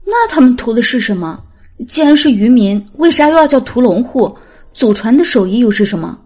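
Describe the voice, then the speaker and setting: A curious young princess of an audiobook tale, acted out by a woman. She is naive and impulsive, asking questions in a high voice. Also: very animated, brisk, darkish tone. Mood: puzzled.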